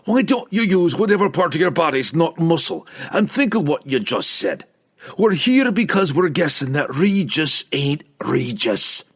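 A man voicing a gruff old dwarf king in a fantasy audiobook, blunt and mocking, with rustic dialect. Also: fairly high pitch, wildly swooping pitch, measured pace, a bit bright, pitched above the performer's own voice, exaggerated voice. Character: gruff, angry old dwarf king, fatherly but scolding.

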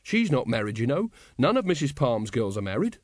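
A man's voice: mid-range voice, big pitch swings, fairly quick, no room sound, clean studio sound, natural voice. A man voicing a stout, weary middle-aged sergeant who mumbles and hesitates. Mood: grumbling.

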